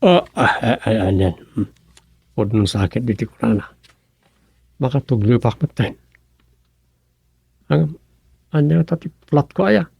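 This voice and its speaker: A man's voice: medium pitch, measured pace, gravelly, long pauses, darkish tone, husky, no room sound. A man acting a grumbling, ambitious townsman in a radio comedy drama. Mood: grumbling, muttering. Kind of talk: radio serial comedy drama dialogue in Ilocano.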